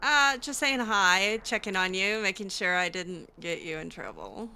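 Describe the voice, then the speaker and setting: A woman's voice: mid-range voice, lively intonation, measured pace, vocal fry, sharp tone, smooth. A woman playing through a video game in character, chatting casually in a hesitant, wry way. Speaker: gameplay roleplayer voicing her character.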